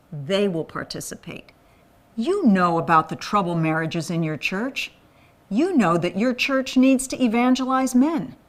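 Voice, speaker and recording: A woman raised in Thailand, delivering an earnest scripted appeal for a men's ministry. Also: medium pitch. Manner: earnest, pleading.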